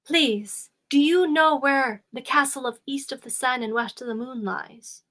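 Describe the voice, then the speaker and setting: A woman voicing a determined young maiden, pure of heart, speaking in a pleading, high voice. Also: unhurried, fairly bright tone. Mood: polite.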